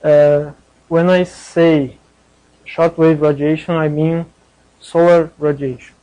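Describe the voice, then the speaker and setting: A man giving a scientific presentation in a hesitant, halting way. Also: fairly high pitch, level tone, dark tone, clear, resonant tone, muffled recording. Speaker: conference presenter.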